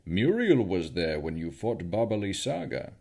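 Man voicing solemn rallying mentor, lively earnest delivery. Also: low voice, normal speed, vocal fry, slightly rough, heavily acted. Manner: earnest and exhortative.

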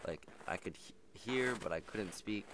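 Young male gaming host, low and slightly raspy, rambling casual delivery, static-laden home mic. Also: moderate pace. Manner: rambling, filler-heavy, chatty. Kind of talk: casual let's play commentary. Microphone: old, static-prone headset mic.

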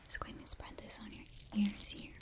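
A young woman performing a whispered ASMR roleplay, speaking softly and coaxingly right up close to the microphone. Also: moderate pace. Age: young adult. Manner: soft, coaxing, close-up whisper with murmured repetitions. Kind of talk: whispered ASMR roleplay, in character as a sorcery student.

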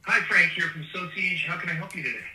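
A man's voice: high voice, fast talker, sharp tone. A synthetic male voice working as a polite phone customer service agent, played from a phone speaker. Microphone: phone loudspeaker picked up across a short gap. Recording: roomy, distant phone speaker playback.

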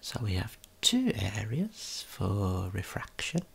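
A tour guide giving a gentle, unhurried ASMR tour in a breathy whisper, explaining things as they go. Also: low voice, big pitch swings.

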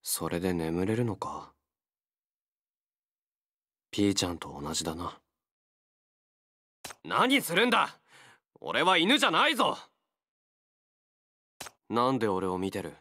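A feral young male fighter from a game, wary and gruff. He speaks slowly in blunt, halting phrases, with pitch that moves widely from line to line. The sound is dry, with no room around the voice. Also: a bit bright, dry recording, exaggerated voice. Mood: alarmed, hostile, then guarded, blunt. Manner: blunt, terse, halting speech. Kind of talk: Japanese game character voice acting.

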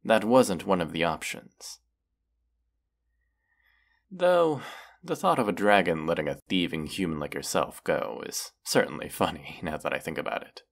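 A man voicing a proud, menacing wounded dragon in a fantasy audio roleplay, speaking in a haughty, deliberate, archaic way. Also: low voice, animated, gravelly, full character voice. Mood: sly, taunting.

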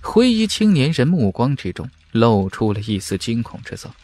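Animated male audiobook narrator. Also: mid-range voice, normal speed. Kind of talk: dramatic scripted fantasy narration. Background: background music.

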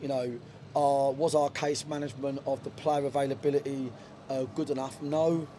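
A man's voice: medium pitch, level tone, normal speed, mellow, slight background noise. A middle-aged man, a football manager, talking frankly in a post-match interview in a stadium dugout, with lots of fillers and restarts. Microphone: handheld reporter mic.

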